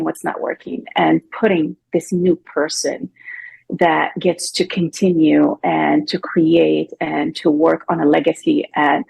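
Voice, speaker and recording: Husky, gravelly Moroccan-accented woman. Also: low voice, poor audio. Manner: chatty, loose with filler words and restarts. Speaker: guest, leadership coach. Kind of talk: casual interview talk.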